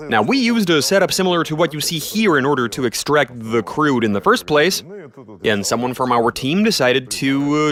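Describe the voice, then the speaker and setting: Calm, matter-of-fact man narrating. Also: a little high. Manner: plain and matter-of-fact, with small hesitations. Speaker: narrator of a workshop video.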